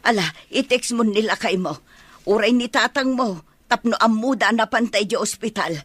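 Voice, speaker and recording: A woman's voice: a little high, normal speed, fairly bright tone, dry recording. A woman playing a caring, fussing older mother and new grandmother in a radio drama, with a Filipino accent. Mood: concerned.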